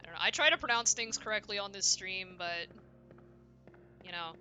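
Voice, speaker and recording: Bright, forceful young woman streaming games. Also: medium pitch, lively intonation, normal speed, dull audio, faint music behind. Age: young adult. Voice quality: loud voice. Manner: rambling, self-interrupting, frequent "like". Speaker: video game streamer. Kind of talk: casual livestream chat while playing.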